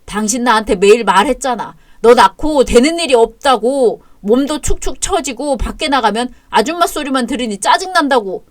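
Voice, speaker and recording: A woman's voice: on the high side, lively intonation, moderate pace, hardly any pauses, close to an everyday voice. Woman voicing a furious, cold young husband. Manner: cold, harsh, commanding.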